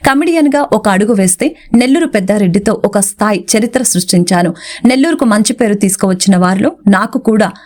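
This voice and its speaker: A woman's voice: medium pitch, animated, brisk, a little mellow. A woman reading a scripted celebrity news report as a narrator.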